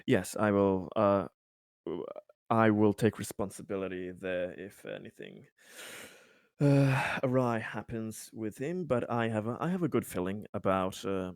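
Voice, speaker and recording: A man improvising in-character talk at a tabletop roleplaying game, speaking in a halting, hesitant way. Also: on the low side, no room sound, clean studio sound. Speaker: tabletop role-playing player.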